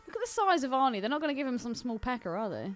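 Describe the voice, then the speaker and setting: A woman's voice: high-pitched, sing-song, rapid-fire, soft background music. A woman co-hosting a casual film podcast, bantering in a sweary, opinionated way. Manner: sweary, opinionated, laughs readily. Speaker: podcast co-host.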